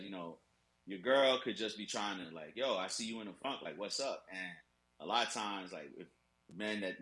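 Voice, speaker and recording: Man, deep voice, bright forceful tone, radio host guest, relaxed podcast talk. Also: rough recording. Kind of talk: casual podcast conversation.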